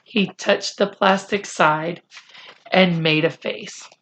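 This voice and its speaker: A woman reading a children's chapter book aloud in a deliberate, expressive storytelling style. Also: fairly low pitch. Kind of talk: read-aloud storytelling narration.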